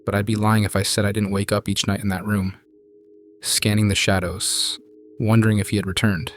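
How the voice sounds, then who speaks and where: A man calmly narrating true scary stories in a measured, hushed scripted style. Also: fairly low pitch, a little hiss or hum. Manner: calm, measured, hushed storytelling. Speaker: narrator reading viewer stories. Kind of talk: scripted horror story narration.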